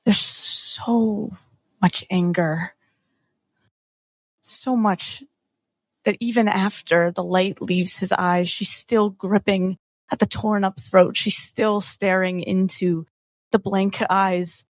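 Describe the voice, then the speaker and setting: A woman playing a tabletop game, narrating her character in the third person with intense, halting, dramatic delivery. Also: mid-range voice, steady tone, dry recording. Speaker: tabletop player.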